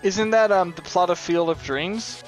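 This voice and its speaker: Guy with a high voice ribbing his friends, laughs a lot, game music loud behind. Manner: teasing, quick to laugh. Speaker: show co-host, gamer. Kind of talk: casual gaming podcast chat.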